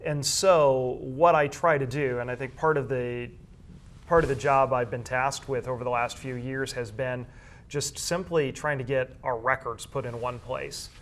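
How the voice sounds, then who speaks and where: Male interviewee, mid-pitched, measured and detailed, explaining his work in school sports information. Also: steady tone.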